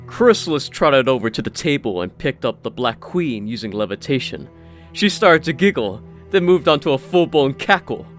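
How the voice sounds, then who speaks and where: Lively male fantasy story narrator. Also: mid-range voice. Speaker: audiobook narrator. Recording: faint music behind the voice.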